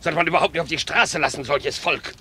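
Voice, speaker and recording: An actor playing a man who was nearly run over and loudly scolds the driver in a radio play. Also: on the high side, fast talker, breathy edge, exaggerated voice. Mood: furious. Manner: shouting, scolding.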